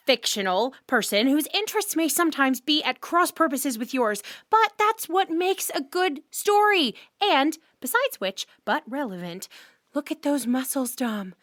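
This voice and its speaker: An actress playing a feisty, teasing gamer with an emphatic, theatrical delivery in a comedic audio drama. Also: female voice, a little high, fairly bright tone, no room sound, studio quality. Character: feisty, teasing woman gamer, ex-goth IT worker.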